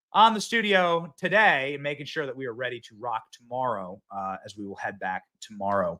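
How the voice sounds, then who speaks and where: A man hosting a live political talk show from a phone, excitable and rambling, often repeating himself. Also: a little high. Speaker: host.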